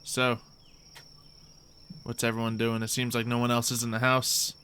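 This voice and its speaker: A man's voice: medium pitch, completely flat delivery, normal speed, pushed and bright, slight background noise. A young man working as game master, narrating a tabletop roleplay in a casual, easygoing way and often chuckling.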